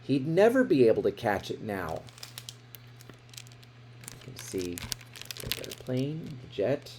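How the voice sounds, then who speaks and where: A man reading a children's picture book aloud in an expressive way, with dramatic emphasis. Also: medium pitch, slow, a little hiss or hum, poor audio. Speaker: storybook reader.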